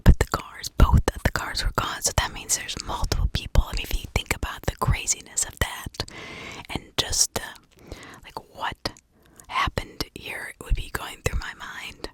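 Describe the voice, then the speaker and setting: An American woman whispering an ASMR true crime story in a hushed, informal, conversational way. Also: normal speed.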